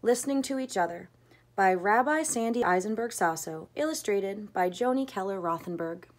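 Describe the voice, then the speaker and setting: A woman reading a children's picture book aloud, voicing the characters' lines with lively expression. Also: medium pitch, normal speed. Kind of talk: storybook read-aloud.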